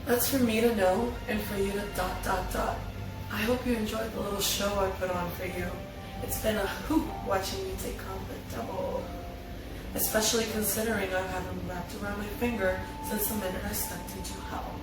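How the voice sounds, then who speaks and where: A woman's voice: medium pitch, fairly even intonation, fairly quick. Woman reading script lines, heavy echo. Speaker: participant reading character lines at a script table read. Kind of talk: casual dramatic script reading. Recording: heavily echoing, distant mic, background music. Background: music.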